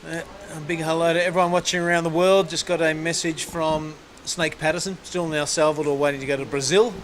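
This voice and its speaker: A man hosting a live surf broadcast, calling each ride with relaxed, matey ease in a slightly raised voice. Faint background ambience runs under his commentary throughout. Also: fairly high pitch, fast talker, slight background noise. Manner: laid-back, matey play-by-play.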